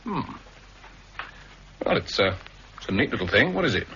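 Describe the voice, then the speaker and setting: A man playing a sharp, inquisitive investigator in a 1960s radio drama, asking probing questions and giving short, clipped replies. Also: on the low side, very animated, moderate pace, breathy edge, exaggerated voice. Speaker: investigator on the island. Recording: dull archive radio recording with faint hiss.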